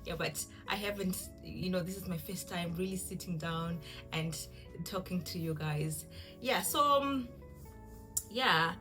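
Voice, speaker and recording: Zimbabwean lady doing a casual chatty cooking vlog, kinda low voice, soft music in the background. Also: a little slow. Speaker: cooking vlogger. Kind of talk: casual introductory vlog talk to camera.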